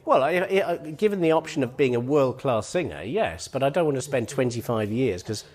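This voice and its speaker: Quick lively male podcast host. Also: mid-range voice. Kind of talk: casual chat.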